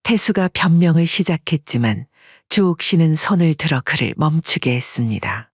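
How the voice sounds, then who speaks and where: Story narrator, male, husky voice, mid pitch, dry close sound. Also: normal speed, no room sound. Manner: steady storytelling. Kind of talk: scripted audiobook narration. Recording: dry, dull lo-fi recording.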